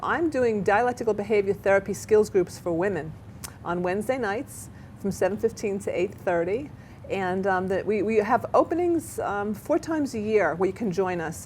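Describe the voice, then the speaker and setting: Chatty, stumbling woman talk show host. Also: mid-range voice. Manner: chatty, animated, stumbles over words. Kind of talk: casual local TV interview chat. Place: local cable TV studio.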